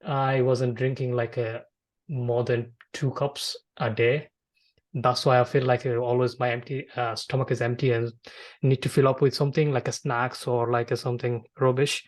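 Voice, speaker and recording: A man from Bangladesh with as his hosts a casual conversation. His mid-pitched voice moves very little in melody. He speaks haltingly, often circling back over his words. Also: little pitch movement. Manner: halting, repetitive phrasing with frequent filler "like". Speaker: podcast host. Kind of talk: casual interview chat.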